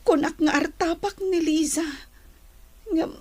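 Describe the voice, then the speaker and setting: A woman playing a remorseful, humbled grown daughter in an audio drama, with a husky, breathy delivery. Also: very high-pitched, fairly even intonation, slow pace, a little mellow. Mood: humbled, apologetic.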